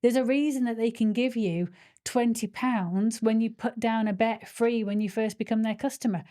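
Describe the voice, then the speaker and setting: A woman's voice: a little high, steady tone, moderate pace, mellow. A woman, a podcast co-host and hypnotherapist, talking in a passionate, ranting way. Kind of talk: casual chat.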